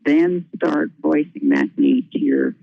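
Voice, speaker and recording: A panelist with a gravelly voice, offering informal advice. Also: mid-range voice, huge pitch swings, takes it slowly, no room sound. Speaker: panel speaker. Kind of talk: informal, advisory panel remarks. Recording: thin phone-line audio.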